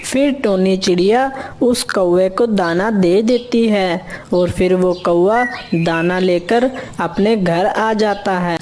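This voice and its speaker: A woman's voice: mid-range voice, a little mellow, a little hiss or hum. A woman narrator reading a scripted children's story.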